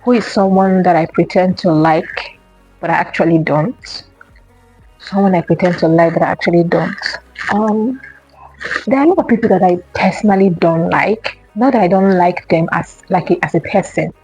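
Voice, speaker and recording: A woman's voice: lowish voice, moderate pace, darkish tone, breathy edge, faint music behind, low-quality recording. A young woman vlogger chatting casually to camera, answering questions and repeating herself with lots of fillers.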